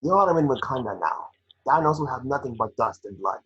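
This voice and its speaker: A man's voice: medium pitch, expressive, brisk, a bit bright, highs cut off, natural voice. A man playing a resolute, commanding warrior king in an amateur script read.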